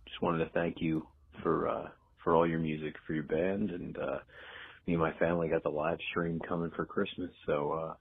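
A man from New Jersey leaving a friendly, relaxed holiday voicemail. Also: a bass voice, normal speed, vocal fry, breathy edge. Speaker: caller. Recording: tinny phone voicemail with faint hiss.

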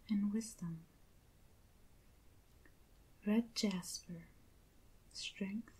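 A woman who is not a native English speaker, softly half-whispering an ASMR unboxing in a gentle, hushed, breathy voice. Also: mid-range voice, low-quality recording. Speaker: ASMR video creator. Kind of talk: soft-spoken ASMR unboxing.

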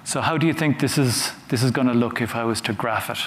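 A man giving a conference talk from a stage, conversational and halting, often repeating words as he goes. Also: mid-range voice. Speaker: conference speaker. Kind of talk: conference talk with audience questions. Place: large conference hall on a stage. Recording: roomy hall sound with faint noise.

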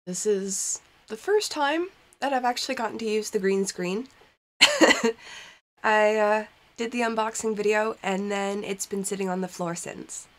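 A woman's voice: medium pitch, unhurried. A young woman with a stuffy nose from a cold, chatting casually as she plays a game in her basement and reads its text aloud. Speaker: gaming channel host. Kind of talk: casual let's-play commentary. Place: cold basement in front of a green screen. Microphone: desk mic while wearing headphones.

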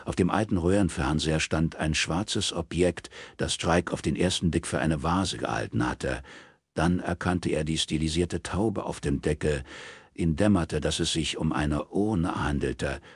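A man's voice: very deep voice, a little slow, husky, no echo. A man narrating a crime novel as an audiobook, reading from a script.

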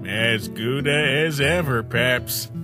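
A man's voice: mid-range voice, extremely slow, music in the background. A man voicing a wry, drowsy skeleton brother with a casual, mumbled, unbothered manner in a cartoon comic dub. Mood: easygoing. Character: laid-back, drowsy skeleton older brother, mild and wry.

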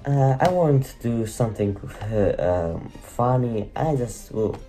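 A man with a Russian accent plays through a game from a desk at home. He muses, corrects himself and chuckles, slow and mellow in tone, with faint game music behind. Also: medium pitch, lively intonation. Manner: musing, self-correcting, laughs at himself. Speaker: gaming channel host. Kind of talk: casual let's-play commentary. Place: home room.

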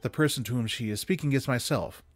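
A man reading classic prose aloud expressively, with measured, dramatic emphasis, close to a desk microphone. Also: mid-range voice, dry recording. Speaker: reader of holiday stories aloud. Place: small indoor room with bookshelves. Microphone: desk condenser microphone, close. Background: music.

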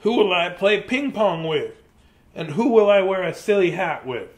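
An anxious, pleading elephant from a children's storybook, acted out by a man. He is high-voiced and melodramatic, with big swoops of pitch as he insists and repeats himself. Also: animated, moderate pace. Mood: alarmed, pleading. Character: anxious, pleading male cartoon elephant, prone to wailing.

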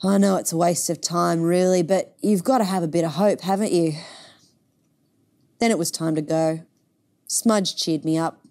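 A woman voicing a weary, downhearted but gently hopeful working-class father in a picture book, resigned and plain-spoken. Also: lowish voice, steady tone, moderate pace, long pauses, natural voice. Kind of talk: picture book read-aloud.

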